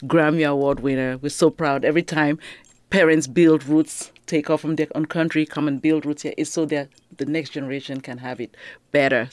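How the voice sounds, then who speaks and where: A woman's voice: low-pitched, no room sound. Encouraging woman host in a dry studio. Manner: warm, encouraging, frequent affirmations. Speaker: interview host. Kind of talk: casual interview talk. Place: radio studio. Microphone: studio boom mic.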